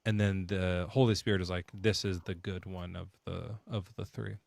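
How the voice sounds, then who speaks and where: A man's voice: deep voice, little pitch movement, normal speed, gravelly, a little mellow, dry recording. A man hosting a call-in debate show, questioning callers in a joking, sarcastic way.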